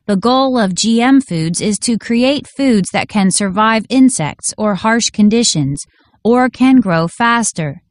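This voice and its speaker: A woman's voice: a little high, lively intonation, slow pace, no echo. Woman reading aloud, dry close sound. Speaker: audiobook narrator. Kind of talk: scripted educational read-aloud.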